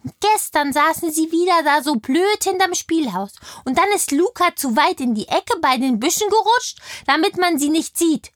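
Chatty, very high-voiced little kindergarten girl. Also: expressive, moderate pace, few pauses, fairly bright tone, dry recording, studio quality. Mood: excited, indignant. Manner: childlike, eager storytelling. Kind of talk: audio play interview for children.

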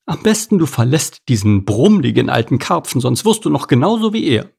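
A lilting, high-pitched lady crayfish from a children's storybook, dreamy and easily offended, as a man reading aloud puts her on. The voice has a gravelly, husky edge underneath. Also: very animated, a little fast, a little mellow, heavily acted. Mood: indignant. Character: dreamy motherly female crayfish, indignant.

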